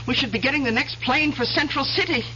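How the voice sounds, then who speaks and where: An actress playing a devoted, worried wife in a 1970s radio drama, halting but determined. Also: female voice, high voice, close to an everyday voice. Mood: earnest, worried. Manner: hesitant, halting, earnest. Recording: dull, faintly noisy old radio broadcast.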